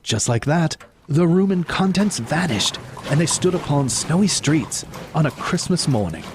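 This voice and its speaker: Animated, higher-voiced male narrator. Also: moderate pace, background noise. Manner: dramatic, expressive. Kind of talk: scripted storybook narration for audio drama.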